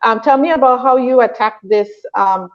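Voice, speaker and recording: A woman's voice: fairly high pitch, normal speed. Lady host digging into the book, long winding questions, kinda muffled audio. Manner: probing, builds long questions. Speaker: interview host. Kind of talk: interview questions.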